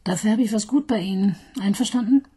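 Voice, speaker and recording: An actress playing a brisk, dryly ironic police detective in a radio crime drama. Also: female voice, medium pitch, warm tone, husky. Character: busy female murder detective, dry and brisk.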